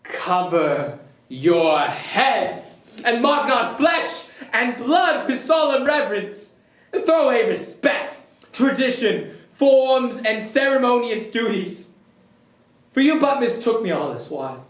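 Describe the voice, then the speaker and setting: A man performing a deposed, bitterly grand king in a theatrical Shakespearean verse monologue, declamatory and drawn-out, swinging between hushed and ringing. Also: extremely high pitch, big pitch swings, pitched above the performer's own voice, full character voice. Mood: defiant. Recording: roomy echo, distant microphone.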